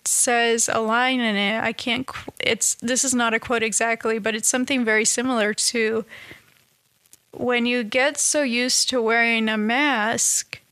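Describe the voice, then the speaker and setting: A woman talking in a casual, reflective monologue, halting and restarting often, with a slightly nasal, stuffed-up voice. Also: on the high side, fairly even intonation, smooth, no echo. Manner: halting, many restarts and soft laughs. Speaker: vlogger.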